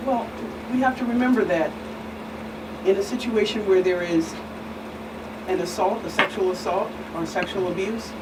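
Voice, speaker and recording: A woman speaking as a guest expert in an earnest discussion, measured and emphatic, pausing between phrases. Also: mid-range voice. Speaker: guest expert, reverend and attorney. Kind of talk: earnest talk show discussion. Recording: distant mic, clear background hum.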